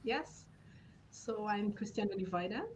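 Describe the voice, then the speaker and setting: A woman's voice: mid-range voice, unhurried, a little mellow, slight background noise, low-quality recording. A middle-aged or older woman with a Brazilian accent, a native Portuguese speaker, talking conversationally as a podcast co-host. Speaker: podcast co-host introducing herself. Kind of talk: conversational introduction.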